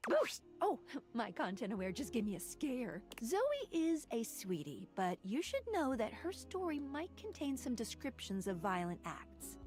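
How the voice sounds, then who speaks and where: Zany theatrical game announcer, really high and animated, upbeat. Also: female voice, measured pace, faint music behind. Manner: theatrical, exclaiming. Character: zany, upbeat female content-warning announcer character. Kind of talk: video game character acting.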